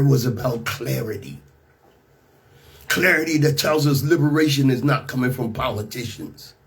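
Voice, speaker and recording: A man with an American accent and a slightly rough voice, hosting a radio talk show with emphatic, rhetorical commentary. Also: a little high. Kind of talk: radio monologue mixing scripted commentary and casual asides.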